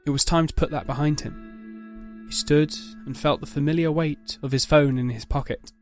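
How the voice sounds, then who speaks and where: Higher-voiced male narrator over music. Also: fairly even intonation, normal speed. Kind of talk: dramatic scripted narration.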